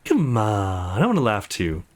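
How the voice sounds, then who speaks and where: A man plays a young man in a visual novel, friendly and quick to joke. The reading is eager and supportive, with very lively swings in pitch. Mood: playful. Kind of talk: visual novel dialogue read aloud.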